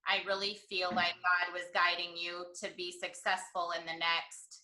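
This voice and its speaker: Bright-voiced woman hosting in an echoey room. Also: lowish voice, flat delivery, moderate pace. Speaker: podcast host. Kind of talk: interview chat.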